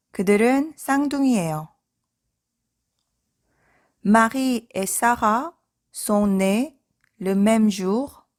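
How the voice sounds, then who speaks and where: A woman language teacher reading out short scripted example sentences for practice, with careful, measured enunciation. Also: a little high. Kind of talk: scripted language drill, sentences read out and repeated.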